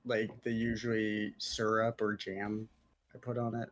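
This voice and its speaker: A man's voice: fairly low pitch, completely flat delivery, unhurried. A man from the American South hosting a casual group Q&A, joking and teasing the others in a brash, playful way.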